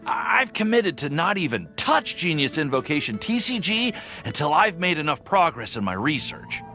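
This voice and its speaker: A man's voice: a little high, sing-song, moderate pace, sharp tone, faint music behind. A man voicing an earnest young alchemist researcher in a video game, friendly and a little hesitant. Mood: flustered, defensive. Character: earnest young male alchemist researcher, eager, slightly flustered. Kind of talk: video game character acting.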